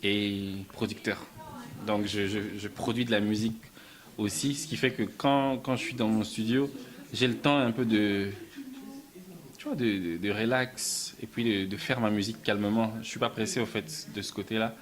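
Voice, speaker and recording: A man from Belgium answering interview questions about his music and films, soft-spoken, often stumbling and repeating words. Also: lowish voice, fairly even intonation, normal speed. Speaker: musician and film writer, interview guest.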